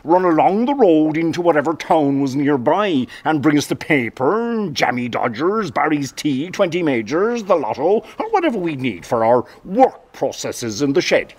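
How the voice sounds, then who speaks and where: Lively, rambling Cork council supervisor. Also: male voice, a little high, normal speed, hardly any pauses. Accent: Cork, Irish. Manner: rambling, tall-tale storytelling. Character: garrulous Cork county council roadworks supervisor, comically earnest. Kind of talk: mock-documentary interview, comic radio acting.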